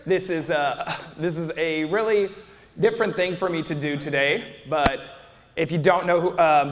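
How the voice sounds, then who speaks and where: A young man giving a casual, rambling live panel talk as a YouTuber at a fan convention, full of restarts and fillers, recorded from the audience. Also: on the high side. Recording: audience recording with long hall reverb.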